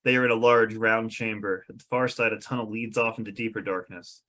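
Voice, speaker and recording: A man's voice: on the low side, steady tone, fairly quick, bright, forceful tone. A man reading stage directions aloud as narrator at a script table read.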